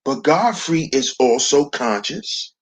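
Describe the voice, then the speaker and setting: A man with a baritone voice hosting a slow, preachy commentary monologue, calling his listeners brothers. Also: expressive, husky. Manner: preachy, addresses listeners as brothers, repeats "see". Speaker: commentary channel host.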